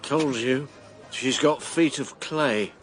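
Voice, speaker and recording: An actor playing a brash, volatile election candidate and ex-army major in a radio drama, defiant and agitated. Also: male voice, mid-range voice, slow, noisy background, natural voice. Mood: drunken gloating.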